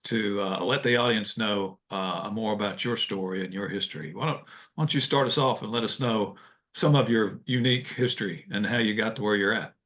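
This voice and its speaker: An older man hosts a casual interview from a home office lined with bookshelves. His voice is fairly low, and he hesitates often, filling gaps with "uh" and "um." The webcam audio sounds dull and lo-fi. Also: fairly quick, fairly bright tone. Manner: hesitant, frequent "uh" and "um" fillers. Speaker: host. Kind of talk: casual interview on a video call. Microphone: video call webcam.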